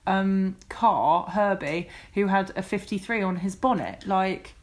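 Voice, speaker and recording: A woman's voice: mid-range voice, measured pace. A woman co-hosting a podcast, chatting casually and easily amazed by what she reads out. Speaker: podcast co-host. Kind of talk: casual chat.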